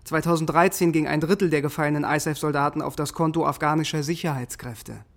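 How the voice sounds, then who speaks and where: A man's voice: fairly high pitch, fairly even intonation, fast, vocal fry, no echo. A man acting in a radio drama as an embittered, defiant German army sergeant who narrates his own fate with clipped intensity. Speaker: lead actor in radio drama. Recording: music behind the voice.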